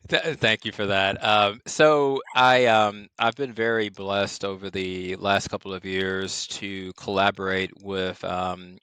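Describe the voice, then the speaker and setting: Really deep male voice, psychiatrist guest on a podcast, slow and careful with lots of ums, dry close sound. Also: steady tone, pushed and bright, clean tone, no echo. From Chicago, Illinois. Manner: measured, many "um" fillers. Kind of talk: podcast interview.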